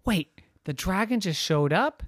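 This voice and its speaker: A storyteller voicing a group of excited young children who fire off breathless, squealing questions. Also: mid-range voice, unhurried, dry recording, clean studio sound, pitched above the performer's own voice. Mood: amazed.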